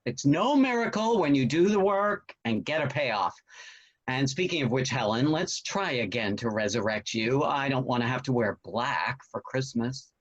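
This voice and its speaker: A man's voice: medium pitch, expressive, moderate pace, lightly acted. A man acting in a play reading as an older father, skeptical but supportive, with a dry, jocular manner. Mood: jovial, wry. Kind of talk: virtual stage play reading. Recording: dull, lo-fi call audio.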